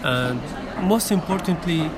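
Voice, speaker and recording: A man's voice: on the high side, animated, unhurried, noisy background. A man in his twenties from Syria, talking as a podcast guest in an earnest, hesitant way with many fillers. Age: 20s. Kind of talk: casual interview chat.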